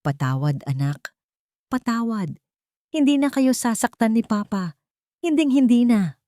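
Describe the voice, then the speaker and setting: A woman's voice: fairly high pitch, lively intonation, measured pace, dark tone, no echo, clean studio sound, pitched above the performer's own voice. A woman voicing an anguished, remorseful father in an audiobook drama, pleading. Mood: sobbing remorse. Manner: pleading, emotional.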